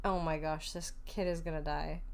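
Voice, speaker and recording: A woman's voice: sits low, fairly even intonation, normal speed, gravelly. A woman livestreamer giving casual reactions to a story game in an informal, playful, chatty way. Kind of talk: casual reaction commentary and chat.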